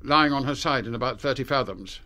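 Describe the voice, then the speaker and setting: An actor playing a dry, authoritative British intelligence chief in a radio drama, clipped and matter-of-fact. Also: male voice, mid-range voice, fairly quick, sharp tone. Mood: grave, wry.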